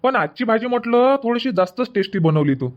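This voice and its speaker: Man voicing a young cartoon husband, higher voice, reassuring, full of excuses, muffled lo-fi audio. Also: expressive, brisk. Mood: coaxing. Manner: reassuring, keeps making excuses. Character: young husband, easygoing and evasive. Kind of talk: Marathi comedy cartoon dub.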